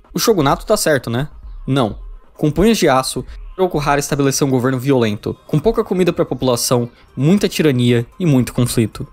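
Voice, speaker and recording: A fast-talking Brazilian man narrates a scripted review with faint music behind him. His voice sits a little high, and he keeps an informal, wry tone. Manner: wry, jokey. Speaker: video essay reviewer.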